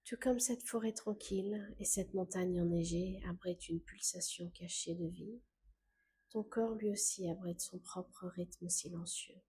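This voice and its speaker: A woman guiding a scripted meditation in a calm, gentle, unhurried way, with soft phrase endings that fade into breath. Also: on the low side, slight background noise. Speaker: meditation guide.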